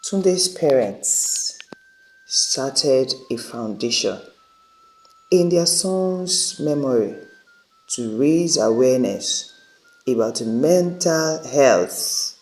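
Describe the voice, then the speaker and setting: A man narrating a scripted dramatic story as a storyteller, in deliberate, halting phrases. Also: medium pitch, a little mellow, poor audio.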